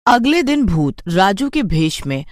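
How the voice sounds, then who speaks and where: Story narrator for a cartoon, super lively pitch swings, scripted, very dry sound. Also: unhurried, no echo. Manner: expressive storytelling.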